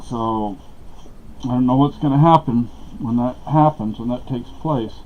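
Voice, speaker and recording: A man's voice: mid-range voice, a little slow. A middle-aged man from Texas talking casually to the camera at home, meandering with many fillers and restarts. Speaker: vlogger. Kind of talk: rambling casual talk to camera. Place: small dark room at home. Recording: dull lo-fi camera audio.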